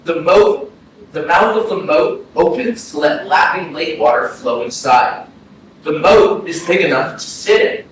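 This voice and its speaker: A man's voice: on the high side, lively intonation. An actor playing a troubled, earnest young man with a hesitant delivery in a staged play reading. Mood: reflective. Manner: hesitant, halting. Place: arts center stage. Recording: very echoey hall, distant, faint noise.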